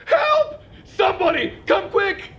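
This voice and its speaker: An actor playing a panicked man in a video game, crying out in a shrill, strained voice. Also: sing-song, slow pace, pushed and bright, dull audio, slight background noise, exaggerated voice. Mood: terrified. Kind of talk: dramatic video game voice acting.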